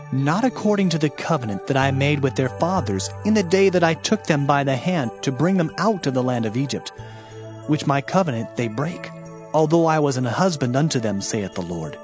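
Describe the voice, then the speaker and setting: Higher-pitched solemn male scripture narrator. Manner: solemn, declamatory, formal. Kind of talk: dramatized audiobook scripture reading. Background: music.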